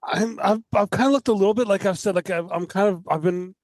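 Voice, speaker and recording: A man from Canada with a slightly high voice, a guest in a casual sports chat. He talks very quickly in rambling, repetitive runs with tag questions, on a dry recording. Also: dry recording. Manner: rambling, repeats himself, tags "right?". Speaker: podcast guest.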